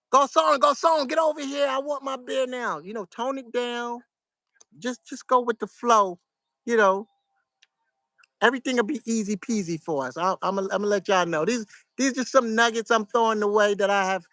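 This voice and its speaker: A middle-aged man from New York with a New York accent, giving casual, soft-spoken advice to his viewers in a fairly flat, monotone way. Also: high voice, no echo, studio quality. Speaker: vlogger.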